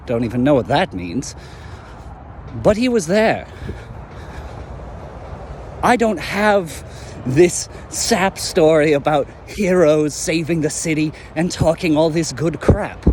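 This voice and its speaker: Bitter former villain, voiced by a man, fairly high voice, noisy outdoor recording with traffic. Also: a little mellow. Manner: cold, cutting, resentful. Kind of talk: audio drama acting.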